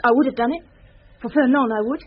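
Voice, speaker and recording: An actress in a radio drama playing a defiant, spiteful femme fatale with a theatrical, taunting delivery. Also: female voice, sits high, quick pace, close to an everyday voice. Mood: hateful, furious. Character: defiant, spiteful seductive murder accomplice woman. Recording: muffled radio broadcast, noisy background.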